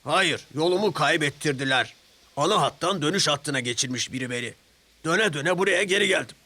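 A man's voice: fairly high pitch, animated, brisk, sharp tone, voice pushed up. A man voicing a proud, boastful big male express engine in a children's story, with a pompous, condescending manner. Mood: indignant.

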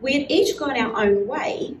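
A middle-aged woman leading a children's church lesson, explaining with animated gestures in a casual teaching chat. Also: high-pitched, a little slow, a little hiss or hum. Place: small indoor room, standing at a wall. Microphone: far camera. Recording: roomy sound from a distant camera.

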